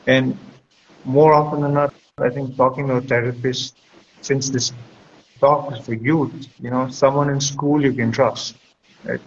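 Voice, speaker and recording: Man, mid-pitched voice, reflective counselor, conversational advice, muffled audio with noise. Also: level tone, measured pace. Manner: reflective, explanatory, checks with "right?". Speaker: guest speaker, school counselor. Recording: dull lo-fi audio with clear background noise.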